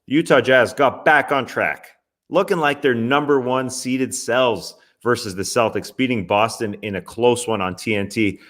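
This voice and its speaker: A man's voice: medium pitch, normal speed, fairly bright tone. A young man hosting a basketball recap show, talking in a casual, chatty way with wry asides. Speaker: sports podcast host. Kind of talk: NBA recap commentary.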